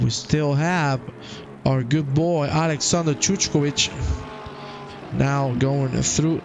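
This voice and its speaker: Male sim racing commentator, unhurried and a bit high, playful, peaks at the checkered flag; engine noise behind. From half Croatian. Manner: enthusiastic, jokey, swells loud and high at the finish. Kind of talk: live race commentary.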